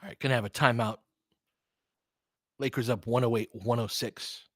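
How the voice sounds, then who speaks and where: A middle-aged man with a bright, forward voice in a dry, close recording. He hosts casual live basketball commentary, mixing play-by-play with opinionated chat and reacting out loud as he goes. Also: medium pitch, fairly even intonation, normal speed, no echo. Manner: conversational, opinionated, reacts aloud to the action. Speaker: online basketball play-by-play host.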